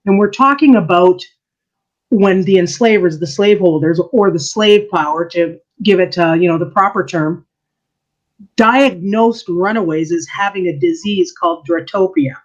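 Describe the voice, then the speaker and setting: A woman's voice: fairly low pitch, lively intonation, normal speed. Woman historian, muffled lo-fi sound. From Canada. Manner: earnest explaining with frequent laughs. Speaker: historian and church archivist, interview guest. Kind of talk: casual interview answers. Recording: dull lo-fi sound.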